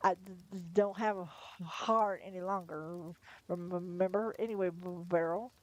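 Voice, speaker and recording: A woman's voice: lowish voice, steady tone, moderate pace, close to an everyday voice. A woman reading aloud, voicing a stammering, henpecked dead husband who speaks through a medium. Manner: stammering, then blunt.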